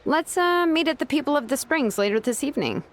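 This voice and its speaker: A woman voicing a relaxed, easygoing adult blacksmith in a video game. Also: sits high, a little fast.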